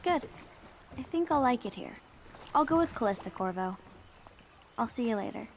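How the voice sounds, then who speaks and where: A little highborn girl in a video game. Her voice is high and childlike, and she talks politely and earnestly, with curiosity, over faint background noise. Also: expressive, moderate pace. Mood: curious. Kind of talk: video game character acting.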